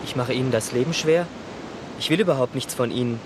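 A man's voice: mid-range voice, brisk, noisy background, natural voice. An actor playing a bewildered, pleading young man in a radio play, agitated and protesting.

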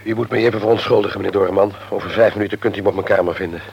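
Quick, curt, wounded office man. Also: lowish voice, steady tone, vocal fry, dull audio. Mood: tense, offended. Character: wounded, curt, dignified male office worker. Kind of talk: Dutch radio play acting. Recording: old radio recording, faint noise.

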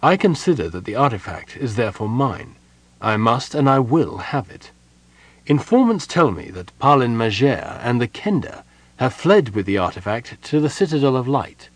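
Male narrator as cautious knight officer, respectful, earnest, very varied pitch, storybook. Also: sing-song, normal speed, dry recording. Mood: formal reading.

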